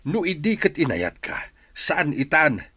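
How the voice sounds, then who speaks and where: A middle-aged man with an Ilocano accent playing a resentful, grieving father in a radio drama, his voice husky. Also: on the high side, big pitch swings, unhurried, bright, forceful tone, no echo, heavily acted. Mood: bitter, accusing.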